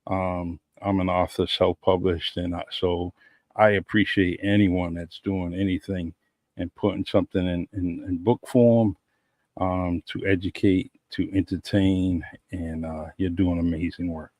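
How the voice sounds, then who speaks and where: A man hosting his own podcast in a loose, rambling way, doubling up words as he goes. His voice sits very low, and the recording is dry and close, with no room sound. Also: flat delivery, measured pace, no room sound. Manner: warm, rambling, repeats words, many "um"s. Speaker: podcast host. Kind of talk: casual interview chat.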